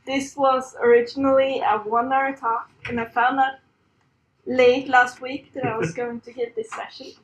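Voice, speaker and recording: A woman's voice: sits high, flat delivery, moderate pace, slight background noise, rough recording. A woman in her thirties from Sweden with a Swedish accent, giving a chatty, self-deprecating conference talk with frequent laughs. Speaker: conference speaker. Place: conference room on a stage.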